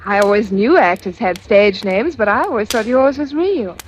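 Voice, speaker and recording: A woman's voice: medium pitch, moderate pace, close to an everyday voice. An actress playing an eager, kind-hearted young woman in 1940s radio drama, chatty and tentative in her asides. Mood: bright, excited. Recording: noisy old radio broadcast.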